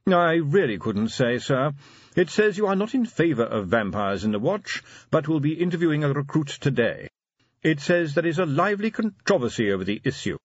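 A man voicing a deferential, unflappable butler in an audiobook, precise, measured and politely dry. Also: fairly high pitch, very animated, no room sound, lo-fi sound, voice pushed up. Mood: cautious, deadpan. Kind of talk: audiobook character acting.

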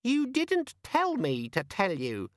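A man voicing a squeaky, cheeky, sulky little demon that lives in a pocket organizer, with a whiny, nagging manner. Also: wildly swooping pitch, slow pace, no room sound, heavily acted.

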